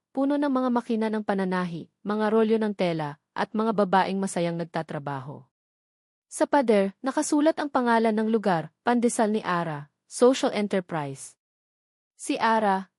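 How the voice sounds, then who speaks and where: A woman's voice: mid-range voice, a little mellow, no room sound. Female story narrator, mid-range voice, scripted romance drama, very dry close recording.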